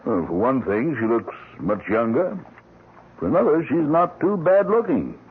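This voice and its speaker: An actor playing a concerned, genial family doctor with a probing, avuncular manner in an old-time radio drama. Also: male voice, medium pitch, big pitch swings, normal speed, breathy edge. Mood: genial, curious. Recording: tinny, noisy old radio broadcast.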